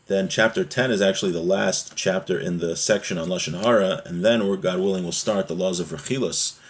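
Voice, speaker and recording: A man with a low, husky voice teaches a religious class. He explains a sacred text phrase by phrase in an earnest, didactic manner, often punctuating his points with short rhetorical questions. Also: fairly quick. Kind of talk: religious lesson, explaining a Hebrew text line by line in English.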